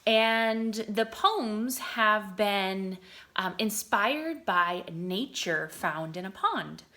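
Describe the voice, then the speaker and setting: A woman teacher reading poetry aloud to young children, explaining as she goes and putting questions to her listeners in an expressive way. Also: mid-range voice, very slow. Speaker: kindergarten teacher reading to pupils. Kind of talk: read-aloud lesson for young children, explaining and reciting poems.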